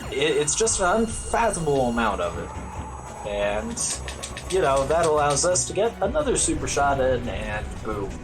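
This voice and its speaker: A man giving casual live commentary on his own speedrun, with wry, understated humor. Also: medium pitch. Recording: loud game music behind voice. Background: video game music.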